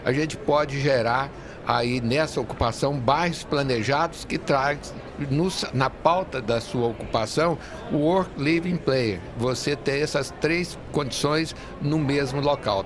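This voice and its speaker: A man's voice: mid-range voice, a little slow. A middle-aged man, explaining things earnestly in a conversational interview, often rephrasing as he goes. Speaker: real estate industry association leader, podcast guest. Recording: busy trade fair background noise. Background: urban fair ambience.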